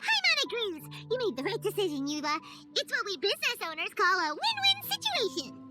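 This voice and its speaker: A woman voicing a tiny, childlike, excitable fairy sidekick in a video game, with a squeaky voice and exclamatory manner. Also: fairly quick, loud music behind the voice, exaggerated voice. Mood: excited. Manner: speaks of self in third person, exclamatory. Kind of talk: animated game cutscene voice acting.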